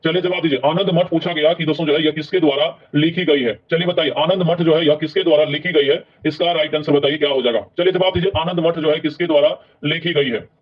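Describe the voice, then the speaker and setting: A male coaching teacher with a Bihari accent rattles through exam questions in a rather high voice. He repeats himself often and keeps prompting viewers to answer. The sound is slightly dull and lo-fi. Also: fairly even intonation, quick pace, few pauses. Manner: repetitive, prompting, frequent check-in tags. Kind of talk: online exam review lesson, quizzing viewers.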